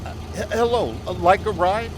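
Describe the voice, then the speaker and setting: A man's voice: high voice, moderate pace, close to an everyday voice. A man playing an anxious, haunted young driver in a radio drama, stammering, hesitant and pleading. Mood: nervous, halting. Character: anxious, haunted young man driving cross-country alone. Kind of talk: 1940s-style radio drama acting and narration. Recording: faint background noise, community theater recording.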